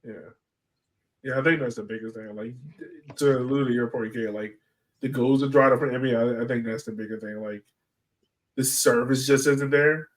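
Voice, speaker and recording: A man with a medium-pitched voice talks as a podcast co-host. He gives sports analysis in an informal, conversational style. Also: steady tone, moderate pace, rough recording.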